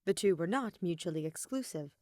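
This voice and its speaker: Lower-voiced woman narrating a story. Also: lively intonation, a little fast, dark tone, no room sound, studio quality. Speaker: fan fiction narrator.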